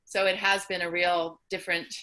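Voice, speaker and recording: A woman's voice: fairly low pitch, level tone, moderate pace, pushed and bright, poor audio. A woman talking casually as a playwright and performer answering questions on a video call. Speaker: playwright and performer in online post-show Q&A. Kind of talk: casual Q&A talk.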